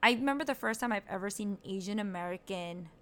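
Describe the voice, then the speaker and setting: A woman's voice: mid-range voice, fast talker, fairly bright tone. A woman from Hong Kong hosting a casual podcast with an upbeat, playful manner. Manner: upbeat, playful food wordplay in a scripted intro. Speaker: podcast host.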